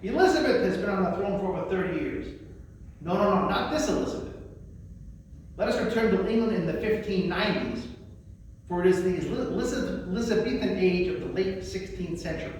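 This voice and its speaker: A man with a high voice presents and recites in a theatrical, declamatory way. He sounds far from the microphone, in a large room with heavy echo and long reverb. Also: lively intonation, fairly quick, a little mellow. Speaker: librarian presenting a poetry reading. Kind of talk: spoken presentation and recitation of poems. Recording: very echoey room, distant mic, faint noise.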